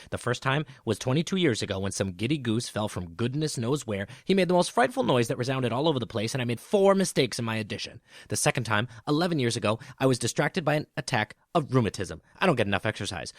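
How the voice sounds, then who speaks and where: A man's voice: mid-range voice, dry recording, clean studio sound. A man playing a stressed, self-important middle-aged businessman in a storybook audio drama, brusque and pompous, with stammers and muttered sums. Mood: irritated, harried. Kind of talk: theatrical storybook audio acting.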